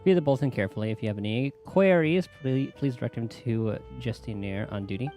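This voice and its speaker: A man's voice: mid-range voice, quick pace, mellow, soft background music. A man streaming a game, chatting casually and reading the game's text aloud.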